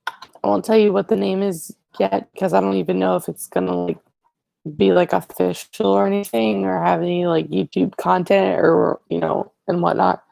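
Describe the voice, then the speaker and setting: A teenage boy hosting a casual livestream, rambling and correcting himself as he chats to viewers, his voice raspy today. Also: high-pitched, flat delivery, normal speed, darkish tone, no room sound. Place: living room, indoors. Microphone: earbud headset mic, webcam.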